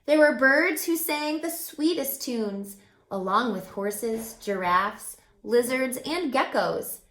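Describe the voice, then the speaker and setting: A woman reading a children's picture book aloud as a teacher, expressively, pausing to put questions to young listeners. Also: on the high side, animated, fairly bright tone, echoey room.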